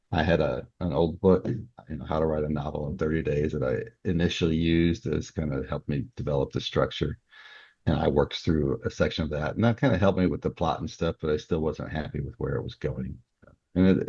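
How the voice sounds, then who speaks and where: An older man with an extremely low voice that has a husky edge. He speaks as an interview guest, explaining things in a conversational way. The audio is somewhat dull and lo-fi. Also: a little mellow. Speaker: podcast guest, novelist. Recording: dull, band-limited audio.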